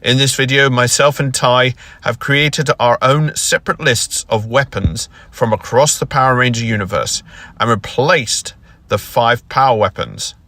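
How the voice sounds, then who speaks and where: A man presents as a video host with a prepared, introductory delivery. His voice is bright and sharp, at a middling pitch. The sound is dry, with very little room in it. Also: measured pace, dry recording. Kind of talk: scripted video intro to camera.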